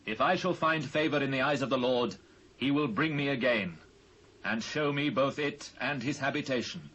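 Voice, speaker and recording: A British man playing a grave, devout fugitive king in a dramatised scripture, speaking solemn, measured archaic English. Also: medium pitch, a bit bright, muffled recording, lightly acted. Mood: resigned, commanding. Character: King David, grave sorrowful fugitive monarch, commanding and devout. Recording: faint hiss and ambience, old cassette.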